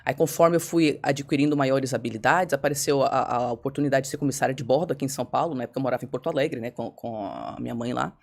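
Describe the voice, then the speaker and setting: A woman's voice: extremely low pitch, fast, vocal fry, hardly any pauses, darkish tone. A woman guest on a podcast, telling a personal story candidly and acting out her own past words.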